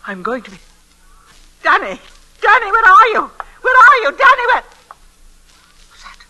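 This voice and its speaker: A woman's voice: very high voice, big pitch swings, moderate pace, sharp tone, exaggerated voice. An actress playing a fretful, needy older woman in old-time radio melodrama, overwrought, quavering and repeating herself. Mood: lonely dread. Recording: noisy vintage radio recording.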